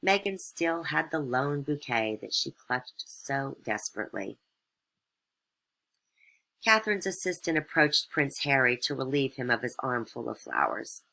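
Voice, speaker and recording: A woman's voice: rumbling low, lively intonation, unhurried, gravelly, pushed and bright, low-quality recording. A woman reading scripted satirical fairytale narration as a storyteller.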